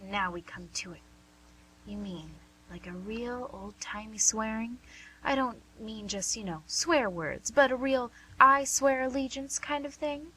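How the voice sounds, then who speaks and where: An actress with an American accent playing a young, inquisitive woman who gently probes in a conversational way, in a radio play. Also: fairly high pitch, measured pace, a little hiss or hum, rough recording. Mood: curious, probing. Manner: questioning, conversational. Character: young, inquisitive, gently probing female listener.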